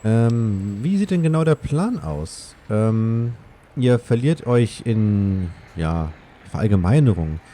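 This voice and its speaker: A German man commenting casually on a game as he plays. His voice is lowish, very dark and mellow, and there is faint noise behind him. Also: lively intonation, takes it slowly. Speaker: gaming let's player. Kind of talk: casual game commentary, reading dialogue choices aloud.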